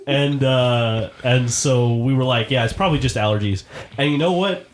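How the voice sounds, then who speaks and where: A man with a congested, stuffed-up voice rambles through a story on a casual podcast. He swears freely and tells things in loose, meandering runs. His voice sits at a middling pitch, with a faint hiss behind it. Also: normal speed. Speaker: podcast co-host. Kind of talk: casual chat.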